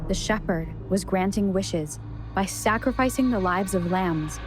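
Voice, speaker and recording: A woman's voice: mid-range voice, unhurried, natural voice. An actress playing a young female hero in a game, explaining things steadily and matter-of-factly. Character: young female hero calmly solving a riddle. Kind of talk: game cutscene voice acting. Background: game score music.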